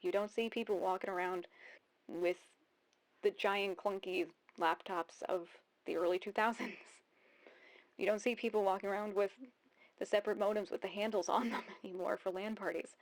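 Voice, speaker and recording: A woman's voice: mid-range voice, steady tone, normal speed, low-quality recording. A woman in her thirties giving casual video essay commentary, rambling and thinking aloud as she repeats phrases. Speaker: YouTube host.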